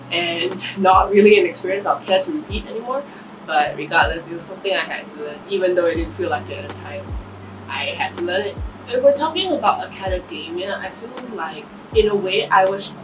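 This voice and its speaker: A woman's voice: fairly low pitch, distant mic. A woman in her early twenties talking through a reflective personal vlog, thoughtful and self-correcting, with some casual swearing. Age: 20s. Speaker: vlogger. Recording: voice a bit off-mic with room noise.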